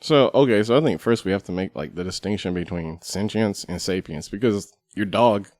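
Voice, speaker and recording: Low-voiced man explaining, dry close sound. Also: a little fast, a little mellow, dry recording. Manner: explanatory, draws distinctions step by step. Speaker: podcast guest. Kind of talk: casual panel discussion. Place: studio.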